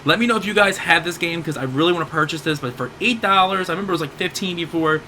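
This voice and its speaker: Hoarse, bright-voiced gaming host. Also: male voice, a little high, very fast, a little hiss or hum. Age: 30s. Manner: rambling, self-correcting, upbeat. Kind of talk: casual game sale rundown.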